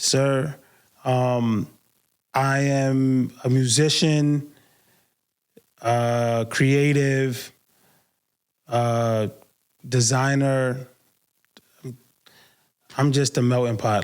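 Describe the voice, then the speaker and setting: A man's voice: mid-range voice, smooth. A man with a New York accent hosting a podcast solo, talking casually and unfiltered with slang and swearing. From Brooklyn, New York, United States. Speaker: podcast host.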